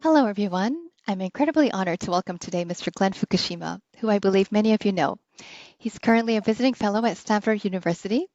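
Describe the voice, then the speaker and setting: A woman's voice: mid-range voice, darkish tone, dry recording. A woman hosting an interview in a courteous, formal and prepared way, on a slightly dull recording. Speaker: podcast interviewer, host.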